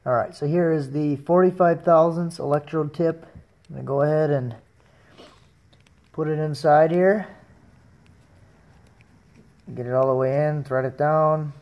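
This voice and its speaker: A young man talking through a welding project in his home garage, relaxed and thinking aloud as he works, recorded on a camera mic that drifts near and far. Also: a little high, a little slow, long pauses, dull audio. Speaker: DIY welding vlogger. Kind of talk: casual how-to narration. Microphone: camera mic, moving between close and far.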